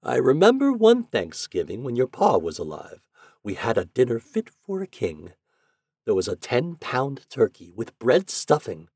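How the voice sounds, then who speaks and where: Old country grandma, as a male reader does her: folksy, fond, encouraging, raised pitch, swooping intonation. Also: wildly swooping pitch, a little slow, darkish tone, slightly rough, no echo, studio quality, exaggerated voice. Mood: fond remembering. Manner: folksy, homely rural speech.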